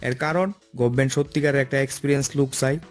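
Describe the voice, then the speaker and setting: A man's voice: medium pitch, fairly even intonation, very fast, soft background music, lightly acted. A man voicing a stern, by-the-book office boss in a comic cartoon, bossy and explanatory, with an eastern Bengali dialect. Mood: firm, explaining. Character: stern, by-the-book male office boss holding job interviews.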